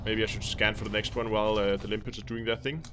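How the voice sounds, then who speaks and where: A man's voice: flat delivery, fast, noisy background. A man with a Danish accent thinking aloud in casual commentary while live-streaming a game. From Denmark. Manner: thinking aloud, musing, low-key.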